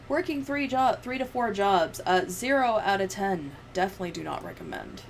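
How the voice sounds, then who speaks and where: A woman's voice: mid-range voice, animated, normal speed. Sardonic woman, noisy background. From United States. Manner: sweary, sardonic. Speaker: livestreamer editing a video. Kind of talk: casual livestream chat. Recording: clear background noise, room ambience.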